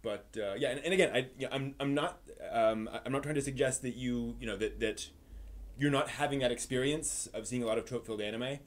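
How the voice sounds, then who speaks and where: A man hosting a live stream in casual, halting chat, circling back over his phrases. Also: medium pitch. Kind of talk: casual rambling chat about anime.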